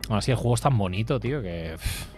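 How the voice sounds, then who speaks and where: A man's voice: on the low side, animated, fast talker. Chatty Spanish man streaming a game. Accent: Spanish from Spain. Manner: chatty, rambling, swears casually. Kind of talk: casual gameplay commentary. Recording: faint game music behind.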